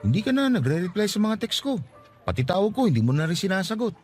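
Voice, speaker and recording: Radio drama suitor played by a man: young, working-class, lovesick, high and quick, stubbornly pleading. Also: sing-song, a little mellow, breathy edge, faint music behind, full character voice. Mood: hurt, accusing. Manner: pleading, insistent. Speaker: voice actor in a radio-style love story. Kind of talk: Filipino radio drama acting.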